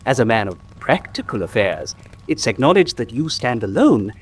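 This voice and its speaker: An actor playing an eccentric, bookish young country doctor in a radio drama, eager, formal and pedantic. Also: male voice, medium pitch, sing-song, moderate pace, slightly rough. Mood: earnest, pedantic. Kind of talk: classic British radio drama acting.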